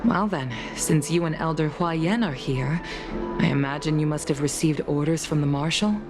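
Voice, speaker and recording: A woman playing a composed, mature official and former pilot in a video game, speaking in a measured, formal and courteous way. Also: low voice, close to an everyday voice. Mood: grave, concerned. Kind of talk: scripted video game voice acting. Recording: music behind the voice.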